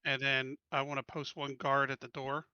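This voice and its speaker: A man's voice: medium pitch, level tone, normal speed, clean tone, dry recording, lo-fi sound. A man playing a tabletop game, asking short practical questions. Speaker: player. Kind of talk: casual chat in a tabletop game.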